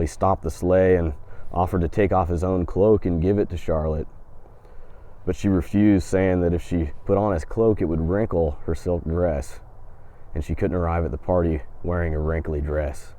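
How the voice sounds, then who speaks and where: A man hosting and telling a folk tale in an unhurried, dramatic storytelling manner. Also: low voice, dark tone. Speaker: host and storyteller.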